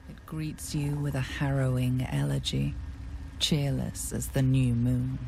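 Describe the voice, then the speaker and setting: An actress playing a grave, poetic storyteller, giving measured second-person narration for a fantasy video game. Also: female voice, very deep voice, flat delivery, vocal fry, warm tone, a little hiss or hum, exaggerated voice, rough recording. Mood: measured, solemn. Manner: measured, solemn.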